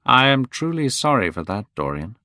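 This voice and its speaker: A man's voice: mid-range voice, very animated, moderate pace, pushed and bright, dry recording, studio quality, pitched above the performer's own voice. A man doing an anxious, pleading older painter. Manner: worried, pleading, shocked.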